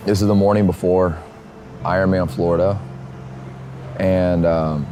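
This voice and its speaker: A hoarse man vlogging casually outdoors at a race venue, rambling and upbeat with lots of fillers. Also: low-pitched, steady tone, a little slow, mellow, a little hiss or hum. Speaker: triathlete filming his race. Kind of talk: casual vlog to camera. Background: music.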